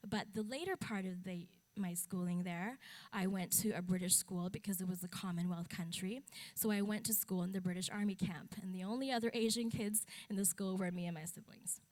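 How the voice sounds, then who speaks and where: A woman's voice: mid-range voice, brisk, a little mellow, rough recording. A young adult woman from the Philippines giving a conference talk as a speaker and advocate, candid and matter-of-fact with wry humor. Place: stage at a talk event.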